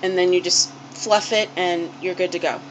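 A woman's voice: lowish voice, steady tone, moderate pace, rough recording. A young woman filming a casual cooking demonstration in her small kitchen, chatty and unpolished with plenty of ums and restarts. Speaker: home cook vlogger. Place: small home kitchen, indoors. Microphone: handheld camera or phone held close. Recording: home video with background noise.

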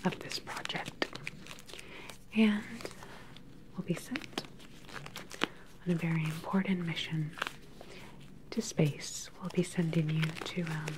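Whispering evil scientist played by a woman, slow. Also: low voice, steady tone, poor audio. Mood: clinical, controlled. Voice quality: soft, breathy whisper. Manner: unhurried, clinical, quietly condescending. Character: calm, sinister evil scientist woman in sci-fi lab. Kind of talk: ASMR roleplay, soft whispering. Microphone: close microphone, seated facing the camera.

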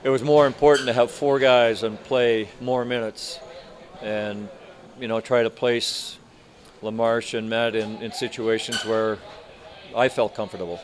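An older man in his sixties, a hockey coach answering reporters after a game, speaking in a measured, halting way with many restarts. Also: medium pitch, steady tone. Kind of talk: postgame press interview. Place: arena media room in front of sponsor backdrop.

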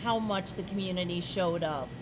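A woman's voice: on the low side, moderate pace, background noise. A middle-aged woman guest telling stories with emphasis in a casual chat at a farm shop table. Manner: storytelling, emphatic, laughs. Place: farm market shop, indoors.

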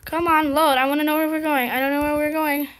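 A young girl commentating her gameplay in a casual, excited, chatty way, her voice slightly scratchy. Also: high-pitched, fast. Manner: excited, chatty, impatient exclamations. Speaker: gameplay video host.

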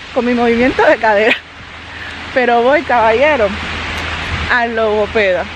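A woman vlogging as she walks outdoors, holding the camera herself and chatting straight to her viewers. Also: fairly high pitch. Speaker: family vlogger. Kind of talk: casual walking vlog. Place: outdoors by a road, walking. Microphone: handheld camera. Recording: noisy outdoor street audio. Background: traffic and street noise.

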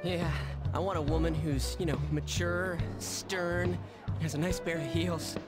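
A man's voice: a little high, fairly even intonation, unhurried, over loud music, lightly acted. An actor playing an eager, naive young man who is easily flustered in a game cutscene. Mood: earnest. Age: young adult. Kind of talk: video game cutscene acting.

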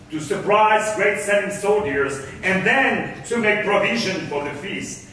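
A man acting a scheming, self-assured merchant on stage, declaiming Elizabethan drama with deliberate grandeur. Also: high voice, sharp tone, distant mic, heavily acted. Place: concert hall stage, far camera. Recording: echoey hall from afar, faint noise.

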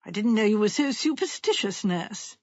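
Storybook husband, played by a woman: long-suffering sceptic, dry and understated, faintly husky, close recording. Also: medium pitch, lively intonation, moderate pace, a little mellow, no room sound, dull audio. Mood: sceptical. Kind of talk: audiobook character voice.

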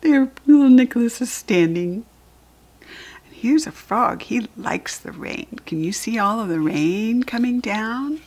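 An American woman reading a picture book aloud to young children, gently explaining things as she goes in a soft, breathy voice. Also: on the high side, animated, unhurried. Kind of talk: read-aloud storytelling with asides to young children.

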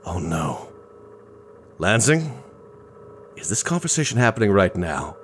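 A man's voice: lowish voice, big pitch swings, unhurried, fairly bright tone. A man playing a short-tempered, blustering government boss in an audio drama, exasperated and barking. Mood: shocked. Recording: clearly noisy, clicks.

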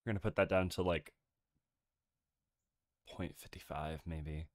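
A man's voice: low-pitched, fairly even intonation. A man streaming a game, chatting casually and hesitantly with many ums while he has a cold. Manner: halting, full of ums, nervous laughs. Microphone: desk microphone.

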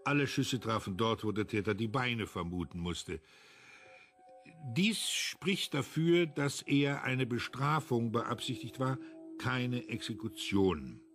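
An actor playing a self-assured, analytical investigator in a German radio crime drama, speaking in a formal, clipped manner. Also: male voice, mid-range voice, animated, slow, a bit bright, faint music behind. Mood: coolly analytical.

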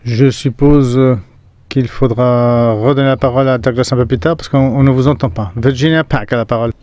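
A man's voice: mid-range voice, steady tone, fast talker, a little mellow, no echo, dull audio. A man working as a live conference interpreter, relaying a chair's words.

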